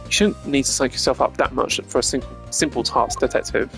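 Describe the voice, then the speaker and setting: Man acting male investigator, video game, formal, precise, stern, dryly sarcastic, medium pitch, music underneath. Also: brisk, creaky, a bit bright. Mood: impatient, stern. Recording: music behind the voice.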